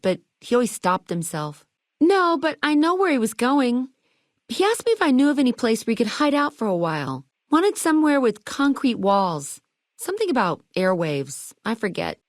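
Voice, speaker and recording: Perky, animated high-voiced hotel keeper. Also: female voice, no room sound, studio quality. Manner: chirpy salesmanship, playful old-fashioned slang. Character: perky, eager vault hotel keeper woman, quirky and chatty. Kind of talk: video game dialogue lines.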